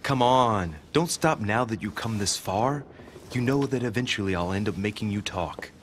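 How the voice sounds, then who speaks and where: An actor playing a persistent, earnest young investigator from a secret society in a video game, coaxing and insistent. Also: male voice, fairly low pitch, normal speed, fairly bright tone, a little hiss or hum, natural voice. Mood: coaxing, probing. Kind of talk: dramatic video game dialogue. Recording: music under the voice.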